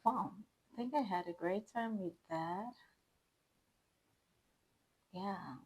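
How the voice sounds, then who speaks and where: A woman's voice: mid-range voice, takes it slowly, slightly rough. A woman hosting a reaction video, chatting casually and thinking aloud with fillers. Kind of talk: casual chat.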